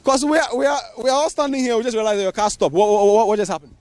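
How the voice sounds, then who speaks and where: A man with a Ghanaian accent reporting outdoors on a handheld mic, lively and inquisitive. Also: very high-pitched, rapid-fire, clean tone, dry recording. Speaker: field reporter. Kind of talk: street reporting. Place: outdoors on a busy road. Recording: faint traffic noise outdoors.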